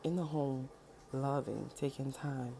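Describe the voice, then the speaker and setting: Deep, gravelly woman with a cold. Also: steady tone, unhurried, warm tone, slight background noise. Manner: loose, rambling, with many ums and rhetorical "right?". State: under the weather. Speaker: spiritual vlogger. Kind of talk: casual direct-to-camera message. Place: home with a washing machine running. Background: washer running, children fussing at times.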